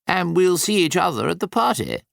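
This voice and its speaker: Guy doing an older posh english gent, clipped and needling, pitched up, audiobook dialogue. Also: big pitch swings, a little fast, vocal fry, a bit bright, dry recording, clean studio sound, exaggerated voice. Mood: brisk, needling. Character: older upper-class Englishman Nicholas, brisk, needling, socially pushy.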